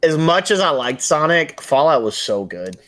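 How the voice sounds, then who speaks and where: A man's voice: fairly high pitch, sing-song, normal speed, fairly bright tone. A man co-hosting a podcast, talking in a casual, conversational style. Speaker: podcast co-host. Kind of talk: casual chat, running a movie bracket game.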